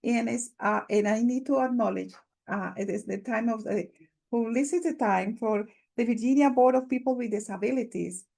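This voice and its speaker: A woman with a Latin American Spanish accent, a native Spanish speaker, hosting a webinar with spontaneous, halting remarks as she searches for words. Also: fairly high pitch, vocal fry, mellow. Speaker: webinar host.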